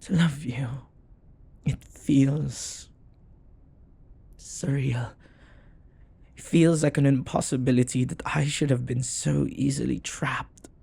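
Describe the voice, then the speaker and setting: A man voicing a possessive, devoted demon lover in an intimate audio roleplay, husky and breathy, often dropping toward a whisper. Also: mid-range voice, steady tone, warm tone, full character voice. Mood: yearning, surrendered. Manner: slow, deliberate, dramatic pauses. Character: possessive lovestruck male demon, jealous, intense, devoted.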